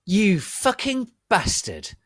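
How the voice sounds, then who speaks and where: A man voicing a furious, sarcastic, melodramatic teenage goth girl who shouts and growls. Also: high-pitched, wildly swooping pitch, takes it slowly, no room sound, clean studio sound, pitched above the performer's own voice, exaggerated voice. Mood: angry.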